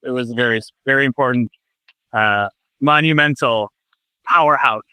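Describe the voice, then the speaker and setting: A man's voice: mid-range voice, normal speed, pushed and bright, clear, resonant tone, no room sound, poor audio. A man speaking reflectively and with emphasis in an interview. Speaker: member of the house's community, interviewee.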